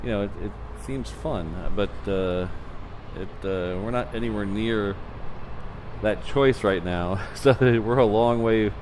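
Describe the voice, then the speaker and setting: A low-voiced man hosting a live plane-spotting stream from outdoors. He talks in a laid-back, rambling, chatty way. Loud road traffic runs behind him. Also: takes it slowly. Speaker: livestream host, plane spotter. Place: outdoors near an airport runway.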